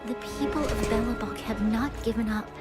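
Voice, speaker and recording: Woman acting young lady leader in a game, kinda high voice, formal n earnest, calm diplomat type, music under it. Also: a little fast, natural voice. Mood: resolute. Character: composed, earnest young female leader of a city. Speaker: game character, guardian leader. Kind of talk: scripted video game voice acting.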